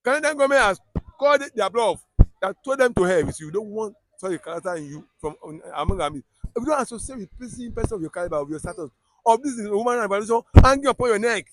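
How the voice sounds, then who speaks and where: A Nigerian man with a Yoruba accent delivers heated political commentary in a high voice. He talks emphatically, repeating words and restarting sentences. The sound is close and dry. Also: expressive, fast talker, no room sound, rough recording. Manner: emphatic, repetitive, stumbles and restarts often.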